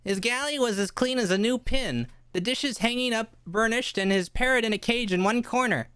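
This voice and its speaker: A woman playing an earnest young cabin boy who tells his adventure in a live radio play, reading a little haltingly. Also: a little high, fairly bright tone, smooth, dry recording, natural voice. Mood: earnest, curious.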